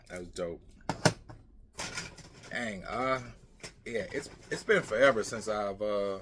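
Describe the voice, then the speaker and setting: Low-voiced man rambling casually, noisy recording. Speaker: vlog host. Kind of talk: casual rambling vlog chat. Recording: clear background noise with clicking, clattering.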